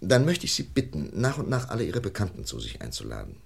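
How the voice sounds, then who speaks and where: An actor playing a calm, reassuring police inspector in a German radio crime play, measured and methodical. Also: male voice, sits low, vocal fry, husky, heavily acted. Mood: measured, explaining.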